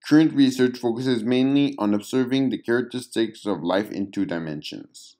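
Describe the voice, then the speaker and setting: A man's voice: mid-range voice, warm tone. Man reading a formal clinical report. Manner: measured, formal read-aloud delivery. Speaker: narrator of fictional audio logs. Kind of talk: scripted narration of a clinical report.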